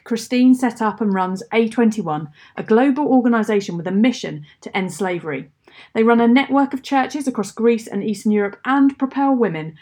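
Woman radio host with a measured delivery. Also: on the high side, a little mellow. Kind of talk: scripted radio introduction and interview.

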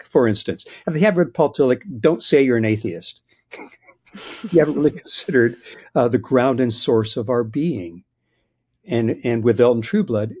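A man's voice: mid-range voice, dark tone, slightly rough. A man in his sixties, a biblical scholar answering interview questions in a reflective, hesitant way with frequent restarts. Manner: thoughtful, hesitant restarts, chuckles at his own points.

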